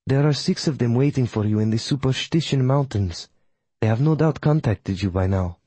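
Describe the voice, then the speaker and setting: A man's voice: mid-range voice, moderate pace, mellow, slightly rough, no room sound, close to an everyday voice. A man voicing a proud female witch who leads a coven, speaking with a Polish accent in a formal, clipped, disdainful way. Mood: measured.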